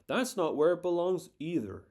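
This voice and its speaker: A man's voice: on the high side, very animated, normal speed, a little mellow, voice pushed up. A man voicing an annoyed turtle father in a storybook read-aloud, firm and scolding.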